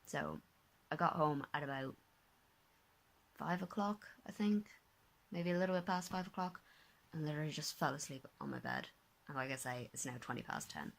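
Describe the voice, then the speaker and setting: A tired, slightly hoarse young woman talking casually to her phone camera at home, rambling, correcting herself and sometimes dropping to a whisper. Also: deep voice, moderate pace. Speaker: vlogger, master's student. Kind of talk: casual vlog talk to camera. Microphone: handheld phone at arm's length.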